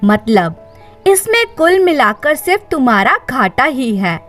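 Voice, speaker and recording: A woman tells a dramatized moral story in a high voice with lively, swinging intonation. She takes it very slowly, as a storyteller would, with faint music behind her.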